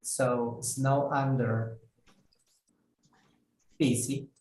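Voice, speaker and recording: Slow, patient male English teacher. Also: mid-range voice, level tone, darkish tone, slight background noise. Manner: slow, careful, repeats words for students. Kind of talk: online English lesson. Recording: echoey room.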